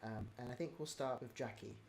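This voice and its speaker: A man's voice: medium pitch, a little fast, vocal fry, noisy background. A man hosting a casual livestream Q&A with the cast, talking in a hesitant way with lots of ums and restarts, heaping praise on the cast. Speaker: livestream host running a cast Q&A.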